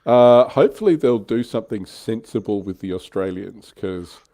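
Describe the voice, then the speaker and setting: A man's voice: lowish voice, expressive, normal speed, warm tone. A man co-hosting a casual livestream chat, thinking aloud and explaining his speculations. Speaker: livestream co-host on tabletop wargaming show.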